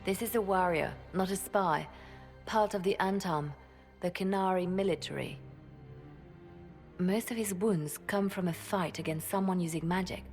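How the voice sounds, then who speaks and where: An actress playing a composed, devoted female spymaster and adviser in a fantasy game, calm, deliberate and formal. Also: medium pitch, natural voice. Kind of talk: dramatic fantasy game acting.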